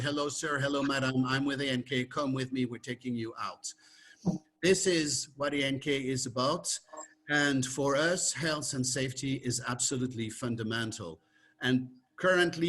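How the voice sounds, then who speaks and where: A man's voice: mid-range voice, fairly bright tone. A man who grew up between France and Morocco, speaking passionately as a travel company director in a webinar, with frequent fillers and restarts. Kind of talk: webinar talk. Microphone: video call.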